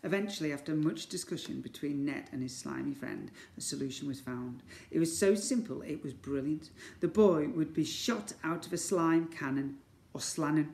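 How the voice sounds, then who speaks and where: A man's voice: a little high, normal speed, gravelly, a little mellow. A man reading a children's story aloud in a theatrical way. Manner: theatrical, exclaims sound words. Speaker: school story reader. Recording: echoey room.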